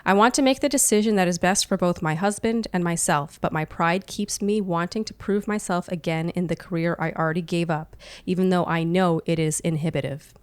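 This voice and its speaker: A woman's voice: lowish voice, a little fast, hardly any pauses, clear, resonant tone, no echo. A woman co-hosting an advice podcast, speaking conversationally and reading listener mail. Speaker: podcast co-host answering listener questions. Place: living room, indoors.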